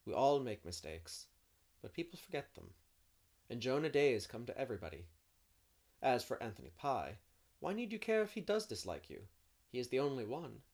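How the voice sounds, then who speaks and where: A narrator voicing a stern but kindly older woman who speaks briskly and with decision. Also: mid-range voice, sing-song, darkish tone.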